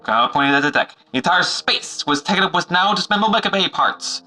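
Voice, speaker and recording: Theatrical male announcer, actor, very bright and forceful, slightly high, narrating an adventure serial over faint music. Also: expressive, a little fast, full character voice. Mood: storytelling.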